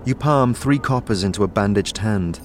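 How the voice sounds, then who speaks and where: A man reading scripted audio drama narration in a measured, descriptive storytelling way. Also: lowish voice, a little hiss or hum.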